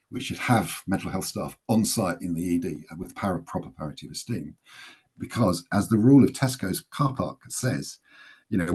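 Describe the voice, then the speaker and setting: A man's voice: low voice, a little mellow, breathy edge. A man making an emphatic, plain-spoken case in a webinar talk. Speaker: guest speaker. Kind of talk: webinar presentation.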